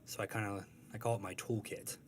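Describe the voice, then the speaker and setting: A man's voice: fairly low pitch, little pitch movement, brisk. A young adult man, a comic strip creator, talking casually in an interview and telling rambling, self-deprecating stories.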